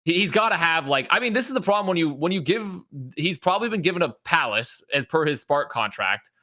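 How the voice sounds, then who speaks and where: A man on a podcast panel in casual esports discussion, halting and often interrupting himself as he works through his point. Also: fairly high pitch, sing-song, sharp tone.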